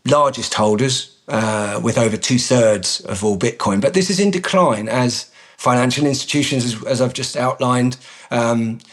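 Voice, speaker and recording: A man, a company executive director, answering interview questions in a measured way with frequent ums and restarts. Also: medium pitch, a bit bright.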